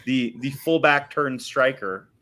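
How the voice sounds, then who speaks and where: A man's voice: medium pitch, takes it slowly, a bit bright. Wry man asking podcast questions. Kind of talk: casual chat and interview questions.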